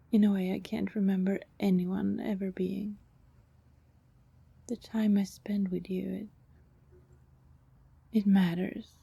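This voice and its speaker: A woman performs a female vampire in love with her neighbor, persistent and anxious, in an ASMR roleplay. Her voice is soft and dark, with a breathy, creaky edge. She pleads in halting phrases that often sink toward a whisper. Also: medium pitch, no room sound, full character voice.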